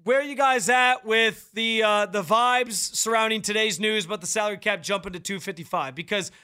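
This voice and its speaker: A young man running a sports radio show, talking straight into a studio mic. His voice sits high and has a bright, forceful edge. He projects so hard that even his normal talk sounds like shouting. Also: fairly quick, clear, resonant tone. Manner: loud, projecting, fired-up delivery. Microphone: studio microphone.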